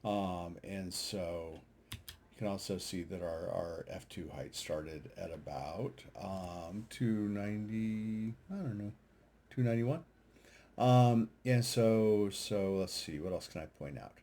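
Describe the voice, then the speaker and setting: A man's voice: low-pitched, slow, mellow. A man giving a casual, unscripted commentary over charts, hesitant and thinking aloud as he goes. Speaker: amateur radio operator and video host. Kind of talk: casual screen-share commentary on data charts.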